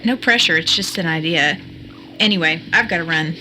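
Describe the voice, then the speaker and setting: An actress playing a supportive, practical friend in an audio drama, speaking in a casual, tactful, conversational way. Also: female voice, deep voice, moderate pace, bright, forceful tone, slight background noise, rough recording.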